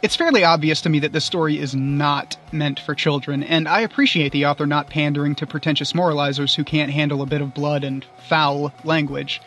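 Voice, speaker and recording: A man's voice: fairly high pitch, steady tone, fairly quick, hardly any pauses, a bit bright, soft background music. A man giving scripted review commentary as a guest reviewer.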